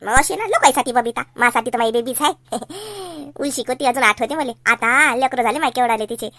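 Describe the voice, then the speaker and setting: A woman's voice: sits high, animated, fairly quick, bright, forceful tone, slight background noise, heavily acted. A woman voicing a fretful, doting middle-aged mother in a cartoon comedy, with a Varhadi Marathi accent and a homely, chiding way of talking. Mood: fond.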